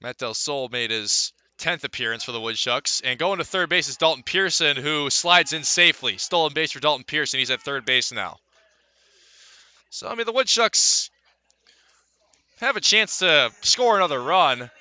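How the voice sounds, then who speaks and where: A man calling live baseball play-by-play for radio, mixing pitch-by-pitch description with conversational asides. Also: expressive, normal speed, sharp tone, dry recording. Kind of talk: live sports commentary.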